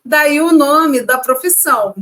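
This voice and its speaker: A woman's voice: high-pitched, unhurried, a crowd in the background. A woman co-hosting a casual chat, reading parts of a script and talking chattily to viewers. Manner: chatty, addresses audience as "gente". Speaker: co-host.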